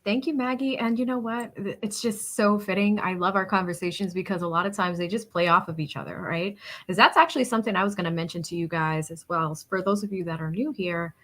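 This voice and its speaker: A woman's voice: medium pitch, brisk, fairly bright tone. A middle-aged woman co-host talking casually from personal experience, in a conversational, engaging manner that checks in with listeners.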